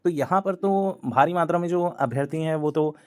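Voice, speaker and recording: A man's voice: on the high side, moderate pace, darkish tone. Hindi-speaking man explaining exam news. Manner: repetitive, hesitant fillers, Hindi-English mix. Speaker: exam coaching channel host. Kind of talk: explainer talk to camera.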